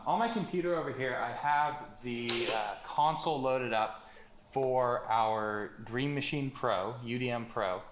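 A man's voice: mid-range voice, fairly bright tone. Young man, casual presenter, filler-heavy talk, moves about while explaining, large bare workshop. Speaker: company presenter. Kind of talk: casual product walkthrough.

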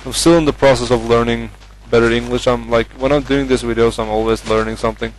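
A young man from Norway speaks English with a Norwegian accent while commentating a game. His delivery is flat and even, with little rise and fall. He hesitates often, filling gaps with ums and uhs as he chats. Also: mid-range voice, quick pace, a little hiss or hum, rough recording. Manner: hesitant, many fillers, chatty. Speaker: gamer.

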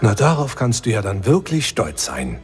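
A man's voice: on the low side, huge pitch swings, moderate pace. An actor playing a wisecracking adult male space adventurer, dry and teasing. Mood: sardonic. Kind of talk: audio drama acting. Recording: music bed under voice.

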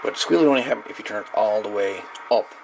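A man's voice: fairly low pitch, quick pace, gravelly. A man giving a casual, unscripted hands-on electronics tutorial in an easygoing, rambling, informal way, recorded at an indoor workbench. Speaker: electronics hobbyist demonstrating a DIY radio project. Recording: noisy camera microphone at a workbench.

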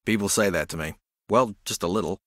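An actor playing an earnest, spacey male rugby champion in a video game, with a deadpan, halting, rambling delivery. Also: low voice, no echo, clean studio sound. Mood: guileless, matter-of-fact. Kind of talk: video game character dialogue.

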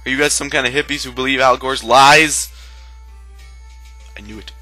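A man's voice: medium pitch, steady tone, normal speed, pushed and bright, no room sound, faint music behind. A man giving casual, joking game commentary in a sarcastic manner. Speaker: gameplay co-commentator.

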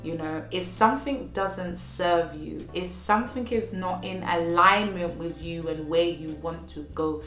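A woman in her thirties talks earnestly to camera about life lessons, nursing a slight cold. Her voice is bright and sits a little low. The room is echoey, with faint music behind her. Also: unhurried, few pauses. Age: 30s. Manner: earnest, repeats phrases for emphasis. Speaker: vlogger. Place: at home, child playing in next room.

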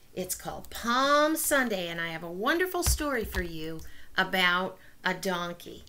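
Female Sunday school teacher, encouraging, sharp and bright, recorded on a laptop at home. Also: medium pitch. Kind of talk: talking to children at home. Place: home kitchen, indoors.

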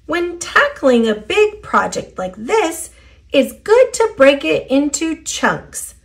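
A woman plays a cheerful little storybook helper with a high voice that swoops with encouragement. The delivery is unhurried and instructive, pitched for young children. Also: sing-song, exaggerated voice. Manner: upbeat, instructive. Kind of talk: children's storybook read-aloud.

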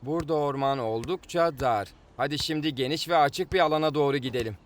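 An actor playing an earnest, good-natured young football star in a children's cartoon dub, speaking sensibly. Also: male voice, a little high, brisk, close to an everyday voice. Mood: friendly. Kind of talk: Turkish-dubbed children's cartoon acting.

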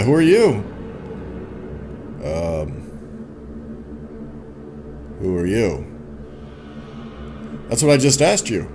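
A man's voice: on the low side, a little mellow, slight background noise. A young man hosting a casual let's play, chatting informally and reacting playfully as he goes. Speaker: gaming YouTuber host. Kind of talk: casual let's play commentary, reading game text aloud. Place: indoor home gaming setup. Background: game music.

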